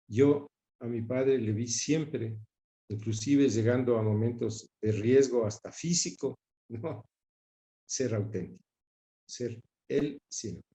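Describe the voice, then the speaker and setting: An older man from Ecuador answering interview questions in a measured, reflective way, often repeating words for emphasis. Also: medium pitch, mellow. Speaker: interviewee, son of a broadcaster.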